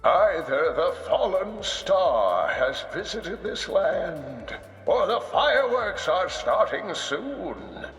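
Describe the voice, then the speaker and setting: An actor playing a kindly old scholar in a video game, making slow, solemn, wry announcements with a creaky, weathered voice. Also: male voice, high voice, big pitch swings, exaggerated voice. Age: older. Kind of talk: scripted game announcer voice lines. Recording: echoing hall reverb, music behind.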